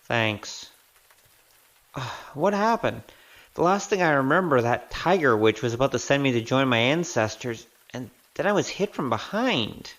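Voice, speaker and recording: A man's voice: mid-range voice, sing-song, unhurried, a bit bright. A man playing a breezy, chatty wandering rogue with a wry streak in an audio drama. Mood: groggy, sardonic.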